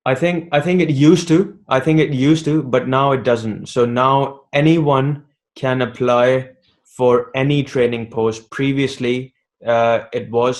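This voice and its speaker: A man on a webinar panel, giving casual advice as a doctor with frequent ums and restarts over a video call. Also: mid-range voice. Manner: hesitant, many ums and restarts.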